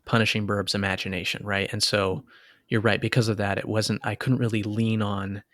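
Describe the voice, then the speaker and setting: A man's voice: on the low side, level tone, normal speed, a bit bright, no echo, studio quality. A man co-hosting a podcast, talking in a casual, chatty way and often hesitating mid-thought as he works out what to say. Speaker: podcast co-host and reader.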